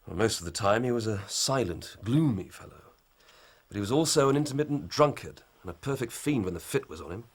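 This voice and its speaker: An actor playing an earnest, ambitious young police inspector, formal and methodical. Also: male voice, mid-range voice, lively intonation, a little fast, husky. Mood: reporting. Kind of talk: radio drama acting.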